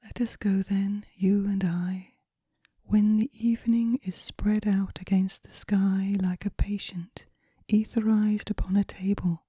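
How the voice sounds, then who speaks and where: A woman reads poetry for relaxation in a soft, breathy whisper, with a gentle, measured, hushed delivery in ASMR style. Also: mid-range voice, flat delivery, no echo. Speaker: poetry reader.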